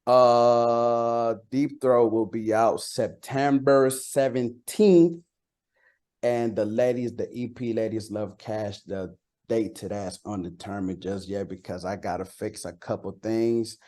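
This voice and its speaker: A man's voice: on the low side, steady tone, slow pace, darkish tone. A man from Costa Rica, a native Spanish speaker, chatting casually as an interviewed rapper, slangy and repeating words for emphasis.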